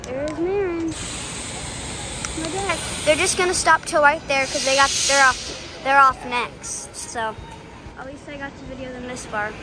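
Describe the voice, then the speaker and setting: A high-pitched woman narrates a casual travel vlog into a handheld phone, talking straight to her viewers. Her delivery is chatty and loose, full of little fillers. Background music plays clearly under her voice. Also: steady tone, a little slow. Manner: chatty, with frequent fillers, addressing viewers as "guys". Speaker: vlogger. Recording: music playing over the voice.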